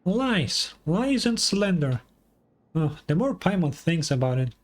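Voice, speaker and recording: A man voicing a small, excitable female fairy companion in a video game, whiny, stammering and easily flustered. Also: high-pitched, a little slow, full character voice. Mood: indignant. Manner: flustered, stammering, refers to self by name.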